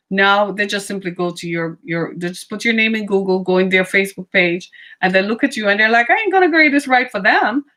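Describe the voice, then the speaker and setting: A middle-aged woman speaking with conviction in an impassioned monologue. She asks rhetorical questions and leans hard on key words. Her voice sits at a medium pitch. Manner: emphatic, rhetorical questions, lively shifts in pitch and pace. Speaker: speaker on racism.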